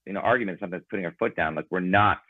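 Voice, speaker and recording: Deep male guest, native Ukrainian speaker, loose and meandering, phone-quality dry audio. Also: rapid-fire, no room sound. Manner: thoughtful, rambling with fillers. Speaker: band member guest. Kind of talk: casual interview conversation. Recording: tinny phone-like line.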